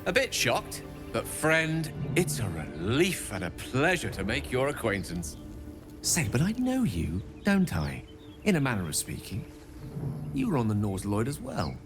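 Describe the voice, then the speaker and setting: An actor playing a genial, verbose wizard who talks in polite, theatrical, elaborate sentences. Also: male voice, mid-range voice, huge pitch swings, a little slow. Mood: courteous. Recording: game audio with music.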